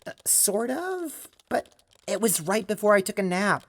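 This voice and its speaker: A woman playing an earnest, feisty teenage girl in an amateur audio drama, halting and casual, blunt when annoyed. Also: medium pitch, very animated, dry recording. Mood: uneasy, hesitant.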